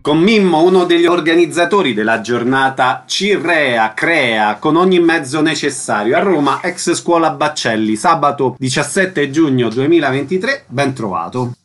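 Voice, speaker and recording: A man hosting a radio show who welcomes guests and asks short questions. His voice is slightly high and his manner brisk and courteous. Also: expressive, fairly bright tone, soft background music. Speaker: radio host.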